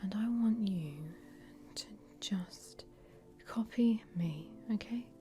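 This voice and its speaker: A woman voicing an easygoing, cheeky meditation guide in a breathy ASMR whisper, with gentle, rambling instructions. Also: medium pitch, slow pace, natural voice. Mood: soothing, gently cheeky. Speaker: ASMR host leading a relaxation session.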